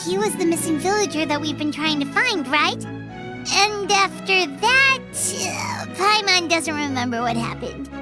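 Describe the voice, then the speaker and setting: A woman voicing a small, chirpy, childlike female fairy companion in a video game, squeaky and perky. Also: lively intonation, unhurried. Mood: puzzled. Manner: refers to self in third person, perky. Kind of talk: video game character acting. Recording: music playing behind the voice.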